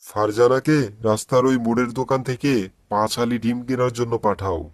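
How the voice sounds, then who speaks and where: A man's voice: mid-range voice, steady tone, a little fast, no room sound, clean studio sound, close to an everyday voice. A man voicing a worried, mild-mannered village father in a moral cartoon, earnest and plain, with a Bangladeshi accent. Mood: persuading. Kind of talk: cartoon moral story dialogue.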